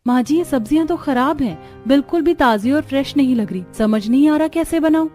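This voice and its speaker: Actress as earnest young country bride, high voice, cartoon tale, music underneath. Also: female voice, fairly quick, mellow, close to an everyday voice. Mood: troubled. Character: sweet, eager young village bride who loves vegetables. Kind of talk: cartoon story voice acting.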